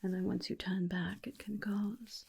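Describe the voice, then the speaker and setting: A woman voicing a tender, protective, faintly menacing vampire companion in a soft, soothing ASMR roleplay whisper. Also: mid-range voice, little pitch movement, creaky, slightly rough, heavily acted. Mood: gently scolding. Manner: slow, soothing, coaxing with endearments. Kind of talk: ASMR roleplay, personal attention.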